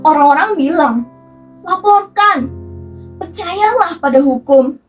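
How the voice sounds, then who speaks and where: A woman delivers a dramatic theatrical monologue in an impassioned, rhetorical manner with emphatic pauses. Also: very high voice, lively intonation. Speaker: monologue performer. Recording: distant microphone with music underneath. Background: background music.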